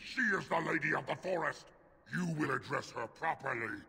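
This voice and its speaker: An actor playing a fierce, loyal werewolf in a fantasy video game, with a gravelly growl and blunt manner. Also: male voice, fairly high pitch, animated, normal speed, full character voice. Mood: indignant. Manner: forceful, blunt. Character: fierce, loyal male werewolf beast, quick to anger. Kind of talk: dramatic video game acting. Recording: long cavernous reverb.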